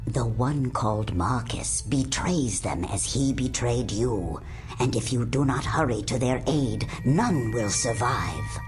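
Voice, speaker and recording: An ancient whispering prophetess. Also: female voice, a bass voice, expressive, darkish tone, heavily acted. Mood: solemn. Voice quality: breathy, whispery delivery. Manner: slow, solemn, oracular pronouncements. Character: ancient all-seeing female prophet, solemn and grave. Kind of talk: video game cutscene voice acting. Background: orchestral game music.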